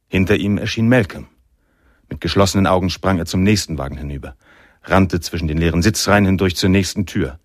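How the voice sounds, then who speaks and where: A man's voice: deep voice, no echo, clean studio sound. An actor playing a reflective, world-weary male narrator in a noir radio drama, telling the story in literary prose. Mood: grave, suspenseful.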